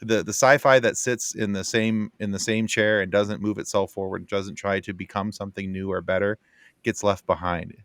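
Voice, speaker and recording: Male panelist, low register, dry recording, casual and halting while musing aloud. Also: steady tone, fairly bright tone, dry recording. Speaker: podcast panelist.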